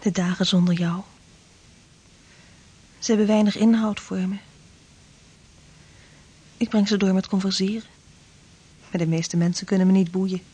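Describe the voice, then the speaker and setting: A woman's voice: mid-range voice, fairly even intonation, a little slow, long pauses, mellow, husky. An actress playing a young merchant's daughter longing for her fiancé in a radio play. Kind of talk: radio drama acting.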